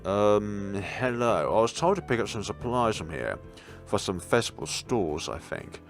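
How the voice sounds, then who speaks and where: An awkward teenage transfer student from a visual novel, played by a man. He is hesitant and easily flustered, his pitch swinging widely, with faint music behind. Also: sing-song, unhurried.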